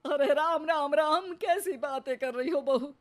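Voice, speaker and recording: A stubborn, pious elderly mother-in-law played by a woman from a cartoon story, fairly high in pitch and slow in pace. She is bossy and scolds with dramatic flair. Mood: devout, insistent. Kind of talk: cartoon moral-story voice acting.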